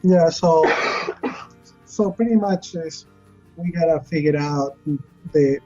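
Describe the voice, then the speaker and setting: A man from Chile, a chef talking casually as a guest and often pausing to search for words. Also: fairly high pitch, dark tone, faint music behind. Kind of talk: casual interview chat. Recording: dull lo-fi recording.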